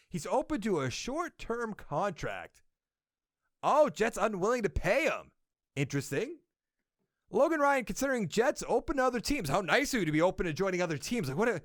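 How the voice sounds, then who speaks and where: Lively young man with a Boston accent. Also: high-pitched, no echo, clean studio sound. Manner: rambling, thinking aloud, self-correcting. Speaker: podcast host. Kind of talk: casual sports podcast monologue.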